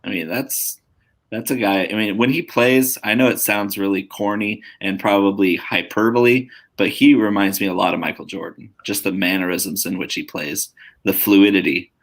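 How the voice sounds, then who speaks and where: A man co-hosting a casual sports podcast, animated and quick to swear, often talking over the others. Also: fairly low pitch, creaky. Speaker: podcast co-host.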